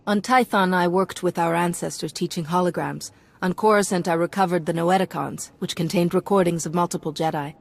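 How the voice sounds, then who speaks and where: A woman playing a composed, earnest Jedi hero in a video game, speaking in a measured, formal and inquisitive manner. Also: lowish voice, steady tone, creaky. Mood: thoughtful, formal. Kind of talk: scripted video game dialogue.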